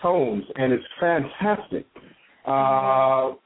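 A man hosting an interview show, talking haltingly with many fillers and compliments for his guest. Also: medium pitch. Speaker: show host. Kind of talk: interview on a call-in style show. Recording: tinny phone-line sound with faint noise.

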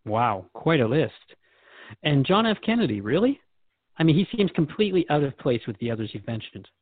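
A man's voice: fairly high pitch, very animated, a little fast, dry recording, narrow, tinny audio. A man hosting a conversational interview, asking questions in a wry, candid way. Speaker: host and questioner.

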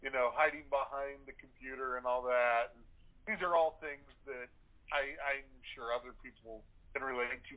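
Man chatting on a tinny phone line. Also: mid-range voice. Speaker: playwright. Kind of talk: casual interview chat. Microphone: telephone or internet call. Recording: tinny telephone-like sound.

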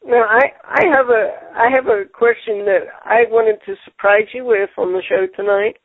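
A man hosting a casual radio show, talking haltingly and repeating words, with many fillers. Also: high voice, vocal fry, no room sound. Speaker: show host. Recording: tinny phone-line sound.

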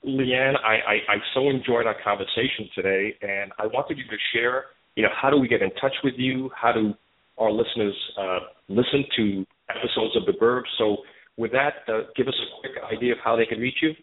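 A man hosting a conversational interview, appreciative and halting, often repeating words as he works toward his question. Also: medium pitch. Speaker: show host, interviewer. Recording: narrow phone-like sound.

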